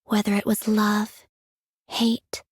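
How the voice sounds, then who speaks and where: An actress voicing a gentle, aloof young woman in a video game, with a soft breathy voice and unhurried, wistful, quietly curious delivery. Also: medium pitch, monotone, no room sound, clean studio sound. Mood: dreamy musing. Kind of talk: scripted game character voice lines.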